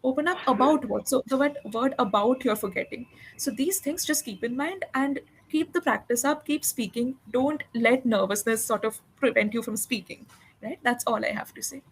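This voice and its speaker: High-voiced encouraging woman tutor. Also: normal speed, a little hiss or hum, poor audio. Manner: encouraging, patient, explains grammar step by step. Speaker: English tutor. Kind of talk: one-on-one spoken English lesson.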